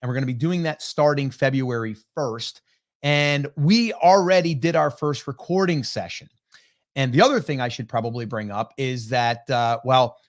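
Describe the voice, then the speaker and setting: A man in his forties hosting a casual livestream podcast, chatty and upbeat with loose fillers, his voice still slightly hoarse from a cold, speaking into a desk mic on a video call. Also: medium pitch. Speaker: podcast host.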